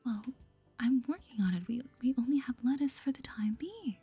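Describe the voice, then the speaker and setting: A woman voicing a polite, hesitant young shopkeeper heroine from a cozy game in a soft whisper. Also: fairly high pitch, animated, normal speed, breathy edge, soft background music.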